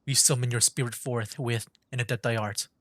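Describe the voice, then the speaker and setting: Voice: mid-range voice, steady tone, fairly quick, fairly bright tone, dry recording. A streamer casually reading game dialogue aloud and joking while playing.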